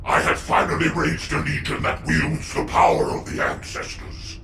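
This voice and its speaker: Unyielding game ruler: gravelly, forceful, haughty male voice in a noisy, echoing space. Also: on the high side, monotone, normal speed, distant mic, heavily acted. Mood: defiant, determined. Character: imperious, unyielding male sovereign commanding his legion. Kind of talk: dramatic video game cutscene acting.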